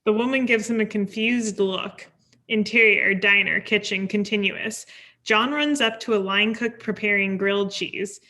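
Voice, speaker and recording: A woman narrates a screenplay at a table read. Her voice is bright and forceful at a medium pitch, and she delivers scene headings and actions as scripted narration. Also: moderate pace. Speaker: stage directions reader.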